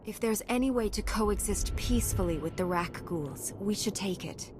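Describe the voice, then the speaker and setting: Game heroine, actress: self-assured Jedi woman, composed and measured, mid-pitched, faint score behind. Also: over music, close to an everyday voice. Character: calm, noble, self-assured female Jedi warrior. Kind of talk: video game voice acting.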